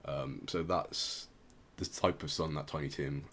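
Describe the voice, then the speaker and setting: A man with a very low voice gives an informal music history talk as a podcast host. His delivery halts and restarts as he feels for the right word. There is clear background noise on the recording. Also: steady tone, unhurried, a bit bright.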